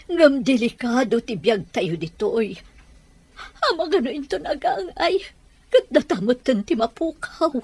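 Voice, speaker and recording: An anxious Ilocano Filipino mother , played by a woman in a radio-style drama. Her voice sits fairly high with a husky edge and swings widely as she pleads with her grown son. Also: expressive, a little slow, darkish tone, full character voice. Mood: worried.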